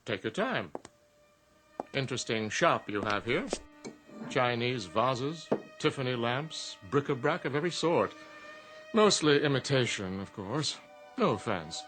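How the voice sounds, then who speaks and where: Ancient genie acted by a man, suave, smug, formal diction, animated intonation, radio drama. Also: mid-range voice, unhurried, over music, lightly acted. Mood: suave, teasing. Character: urbane, smug male genie, ancient and patient.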